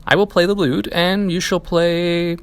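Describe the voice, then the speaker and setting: A man's voice: high voice, flat delivery, measured pace, clean tone, voice pushed up. A man voicing a big, friendly, confident donkey in a storybook, hearty and persuasive. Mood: hearty, inviting. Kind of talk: storybook character reading.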